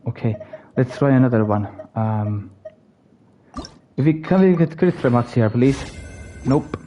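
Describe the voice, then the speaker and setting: Mellow-voiced man streaming a game. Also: medium pitch, animated, unhurried, lo-fi sound, a little hiss or hum. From Finland. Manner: repeats short phrases, muttering to himself. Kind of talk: casual live gameplay commentary.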